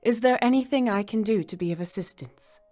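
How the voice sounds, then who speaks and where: A woman voicing a seasoned, brisk court wizard in an animated fan dub, knowing and dignified. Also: fairly high pitch, faint music behind, lightly acted. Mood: respectful.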